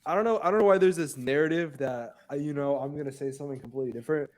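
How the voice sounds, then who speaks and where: A man's voice: on the high side, racing, a little mellow. A man on a podcast panel, talking casually in group banter.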